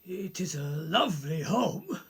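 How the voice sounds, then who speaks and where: A woman's voice: fairly low pitch, very animated, measured pace, breathy edge, full character voice. A woman playing a courteous, concerned professor in a radio play, formal and questioning. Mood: sympathetic, courteous. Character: concerned, courteous professor, a sceptical family friend.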